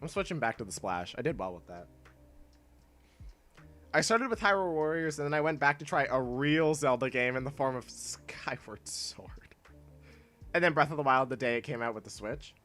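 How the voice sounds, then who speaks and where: A man's voice: medium pitch, animated, normal speed, a bit bright, faint music behind. A young man streaming and chatting casually with his viewers, congested from clogged sinuses, rambling, often cutting himself off and laughing. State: congested, stuffy nose. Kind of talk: casual livestream chat, reading and answering chat.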